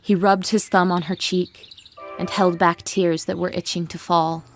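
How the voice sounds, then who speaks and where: A woman's voice: lowish voice, little pitch movement, unhurried, music in the background. A woman reading scripted, dramatic storytelling narration.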